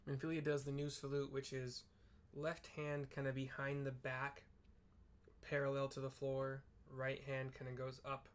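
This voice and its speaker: Very deep-voiced woman, casual game commentary. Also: completely flat delivery, a little slow. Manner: informal, offhand. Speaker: game streamer narrating scenes for viewers. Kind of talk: casual playthrough commentary with descriptions.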